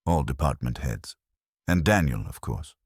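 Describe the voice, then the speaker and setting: No-nonsense woman office manager, fiftyish, read by a man: curt, practical, husky, animated. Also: very deep voice, a little slow, no echo, studio quality, exaggerated voice. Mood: matter-of-fact. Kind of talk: audiobook character voice.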